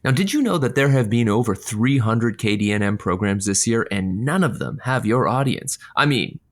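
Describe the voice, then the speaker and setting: An actor playing a smug, slick veterinarian radio host, condescending and smooth-talking, in an audio comedy. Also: male voice, medium pitch, expressive, moderate pace, lightly acted. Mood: gloating, falsely chummy. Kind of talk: scripted audio comedy acting.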